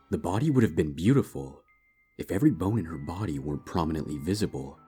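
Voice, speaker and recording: A man giving a dramatic scripted narration of a dark fantasy story. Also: sits low, slightly rough. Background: background music.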